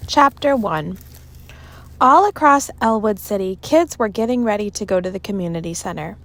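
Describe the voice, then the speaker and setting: Expressive woman reading a children's story. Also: mid-range voice, moderate pace. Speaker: storybook reader. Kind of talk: expressive children's read-aloud narration.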